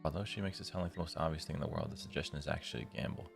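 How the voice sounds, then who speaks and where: A man's voice: rumbling low, fairly even intonation, racing, vocal fry. A man live streaming, reading a visual novel's narration aloud and breaking off to comment casually. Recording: music behind the voice. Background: guitar music from the game.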